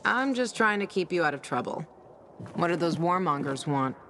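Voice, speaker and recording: A woman's voice: lowish voice, animated, normal speed, fairly bright tone, slight background noise. An actress playing a fiery, blunt woman ally who swears at her enemies. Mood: angry. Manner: angry, blunt.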